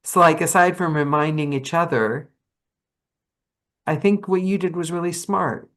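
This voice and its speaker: A woman hosting a podcast, chatting animatedly with her guest, with quick asides and self-corrections. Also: on the low side, a bit bright. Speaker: podcast host. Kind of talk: casual interview chat.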